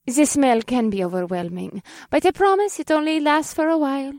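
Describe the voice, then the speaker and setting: A woman playing a perky, poised witch professor in an audiobook, bright and encouraging in manner, with polite, eager delivery. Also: high-pitched, normal speed, no room sound, studio quality. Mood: instructive. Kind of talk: audiobook character dialogue.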